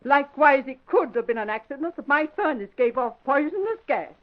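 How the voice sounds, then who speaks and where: High-voiced feisty old spinster. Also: female voice, expressive, a little fast. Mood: indignant, tart. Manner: folksy, tart, forthright. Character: feisty, blunt, no-nonsense older spinster client. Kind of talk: old-time radio drama acting. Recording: dull vintage radio recording.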